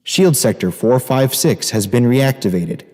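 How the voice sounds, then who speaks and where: Husky, impersonal station computer. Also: male voice, medium pitch, expressive, unhurried, a little mellow. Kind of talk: automated system announcement.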